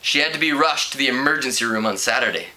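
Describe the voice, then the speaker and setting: A man reading a teen novel aloud in an animated way, now and then stumbling over words. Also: medium pitch, fast, low-quality recording. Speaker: amateur book reader.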